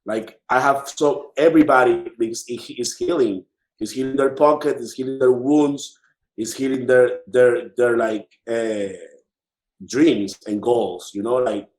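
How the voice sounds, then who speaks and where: A man from the Dominican Republic with a Dominican Spanish accent, chatting casually as an interview guest, haltingly repeating words as he searches for them. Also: mid-range voice, fairly even intonation, a little mellow, echoey room, low-quality recording. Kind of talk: casual conversation.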